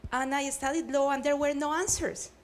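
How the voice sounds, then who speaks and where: A woman's voice: high-pitched, steady tone, moderate pace. A middle-aged woman from Colombia with a Colombian Spanish accent, speaking earnestly on a panel with frequent hesitations. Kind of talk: panel discussion. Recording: faint background noise.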